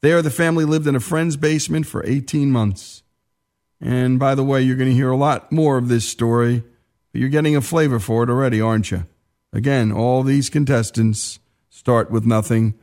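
A man's voice: medium pitch, steady tone, moderate pace, a little mellow. A man hosting a radio talk show, narrating in an emphatic, conversational way with frequent asides.

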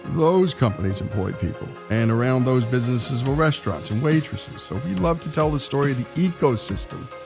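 A man's voice: mid-range voice, lively intonation, brisk, vocal fry, few pauses. Male radio storytelling host over music. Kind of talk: storytelling radio hosting, scripted narration.

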